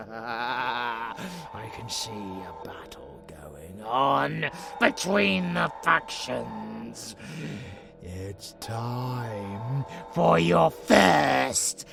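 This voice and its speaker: A man playing a sadistic, gleeful vampire abomination in an audio roleplay, with a growling, gravelly voice and a theatrical, taunting manner. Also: medium pitch, exaggerated voice. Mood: dark relish. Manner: theatrical, menacing, taunting, drawn-out phrasing. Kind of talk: monster ASMR roleplay acting.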